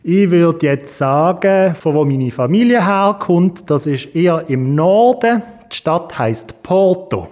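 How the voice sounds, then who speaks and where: A man's voice: fairly high pitch, expressive, slow, a little mellow. A man, a guest giving plain, matter-of-fact answers in a casual interview. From Switzerland. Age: 20s.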